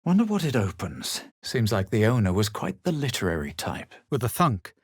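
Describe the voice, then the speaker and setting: A self-assured fantasy game adventurer with a low, husky voice. He speaks in measured, dramatic phrases with a superior edge. The recording is close and dry. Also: huge pitch swings, dry recording, heavily acted. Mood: wary, observant. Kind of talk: video game voice acting, barks and past-tense narration.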